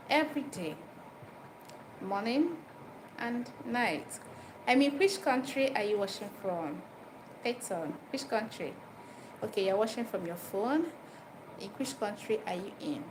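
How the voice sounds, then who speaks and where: A woman's voice: mid-range voice, lively intonation, measured pace, pauses often. A woman with a Nigerian accent hosting an informal livestream, repeating her phrases and putting questions directly to listeners as she explains and advises. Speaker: livestream host giving dental health advice. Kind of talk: informal livestream talk, chatting with viewers. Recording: noisy live stream with background ambience.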